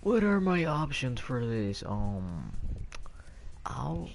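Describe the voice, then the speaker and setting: A man's voice: medium pitch, very animated, slow, creaky, a little hiss or hum. A man streaming from home on a headset mic, rambling in casual chat with many fillers and restarts.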